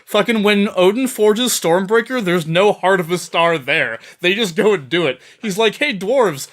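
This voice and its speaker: Guy with a high voice arguing nerdy stuff on a podcast, casual, sweary. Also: animated, moderate pace, a bit bright. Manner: profane, argumentative. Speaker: debate panelist. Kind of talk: casual comic debate.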